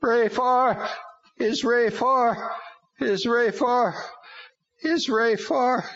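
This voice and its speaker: A man's voice: extremely slow, fairly bright tone, full character voice. A man voicing a shrieking, boastful male demon in an audiobook reading. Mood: desperate. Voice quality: high strained shrieking voice. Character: shrieking male demon called Witchcraft, boastful then pleading. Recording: slightly dull lo-fi recording.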